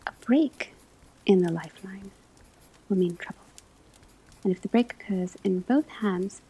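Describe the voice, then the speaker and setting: A woman playing a gentle witch-school divination teacher in an ASMR roleplay, whispering breathily as she gives measured instruction. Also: mid-range voice, breathy edge, low-quality recording. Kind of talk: ASMR classroom roleplay lecture.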